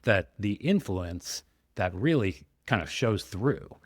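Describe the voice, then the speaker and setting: Enthusiastic low-voiced male podcast host. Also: expressive, slow, no room sound, studio quality. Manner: enthusiastic, rambling with many likes. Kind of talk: casual podcast chat.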